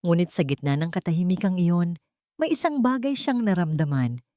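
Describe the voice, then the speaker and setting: A woman narrates a scripted dramatic story in deliberate, suspenseful phrases. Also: fairly low pitch, lively intonation, dark tone, dry recording, clean studio sound.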